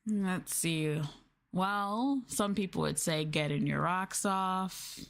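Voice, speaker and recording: A woman's voice: fairly low pitch, takes it slowly, creaky. A woman streamer doing an ASMR roleplay, talking down to her listeners in a mocking, teasing, sultry way. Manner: condescending, mocking, sultry taunting. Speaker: streamer, VTuber host.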